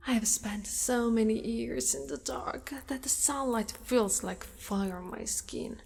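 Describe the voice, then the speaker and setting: A woman voicing a possessive, doting female spider monster in an ASMR roleplay, with formal wording and a hushed delivery that often slips into whispers. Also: on the high side, gravelly. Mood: lonely, wistful. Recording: long reverberant echo.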